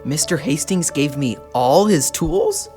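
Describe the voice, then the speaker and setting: Woman playing an earnest, expressive young boy. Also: low-pitched, unhurried, faint music behind, exaggerated voice. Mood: puzzled, shy. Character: earnest, grieving young boy, polite to adults. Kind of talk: children's puppet show drama.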